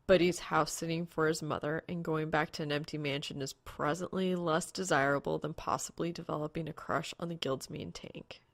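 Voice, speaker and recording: A woman's voice: sits low, fairly even intonation, normal speed, creaky, few pauses, dry recording. A woman reading fan fiction aloud as a scripted story narrator. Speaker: podfic narrator.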